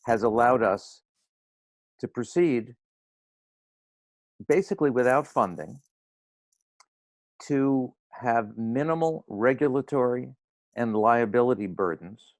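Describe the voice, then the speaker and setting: An older man with a hoarse voice from laryngitis, giving an earnest, wry talk on a video call. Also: medium pitch, no room sound. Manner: earnest, slightly preachy, wry. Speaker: guest speaker. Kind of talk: roundtable talk.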